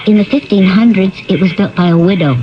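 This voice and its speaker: A woman's voice: medium pitch, fast talker, over music, natural voice. An actress playing a composed, knowing young typist and assistant in her twenties in a radio drama. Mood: composed, intrigued. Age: young adult. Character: helpful, self-assured female typist and assistant, twenties. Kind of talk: 1970s radio drama acting. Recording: dull 1970s radio broadcast recording.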